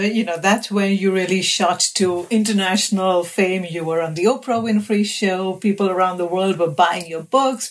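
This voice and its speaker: A woman interviewer who asks questions and gives brief reactions. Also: lowish voice.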